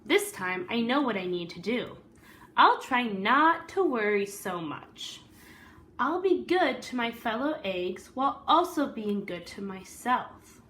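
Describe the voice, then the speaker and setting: A woman voicing an earnest, weary good egg who tells his own story in a deliberate, dramatic storybook delivery. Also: fairly high pitch, sing-song, a bit bright, exaggerated voice. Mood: hopeful, resolved.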